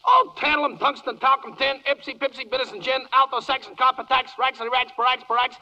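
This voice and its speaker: Shrill, bright, zealous radio pitchman. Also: a little hiss or hum, exaggerated voice. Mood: eager, declamatory. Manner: declamatory, rapid-fire patter. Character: zealous, rhyming salesman-like spokesman for minerals. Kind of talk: 1940s radio verse-play acting. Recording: muffled 1940s radio broadcast.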